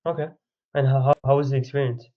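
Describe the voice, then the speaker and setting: A man's voice: mid-range voice, little pitch movement, fast, highs cut off. A man hosting a consulting call, talking loosely with many "you know"s and "like"s. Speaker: consultant host. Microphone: video call.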